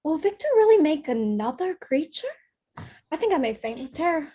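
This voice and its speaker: A woman playing an excitable, naive young lady in a period drama reading, eager and earnest. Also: sits high, normal speed. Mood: anxious, earnest.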